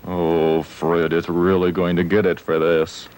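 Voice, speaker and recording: A shoe salesman on a children's educational show, fussy and easily flustered. He speaks on the low side, stammering and hesitating, with a suspicious edge. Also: flat delivery, a little slow, darkish tone. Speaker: shop clerk character. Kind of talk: children's educational television sketch acting.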